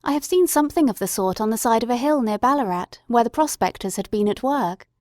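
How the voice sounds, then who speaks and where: A woman voicing a gentle, composed young woman with a soft manner. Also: a little high, animated, fast, dry recording, clean studio sound, natural voice. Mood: wondering.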